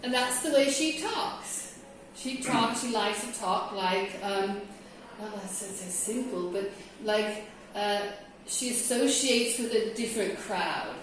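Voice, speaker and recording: A woman's voice: medium pitch, slow pace, slight background noise. A woman from California leading a classroom discussion as an English teacher trainer, chatty and checking in with her group. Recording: roomy echo, mic from a distance.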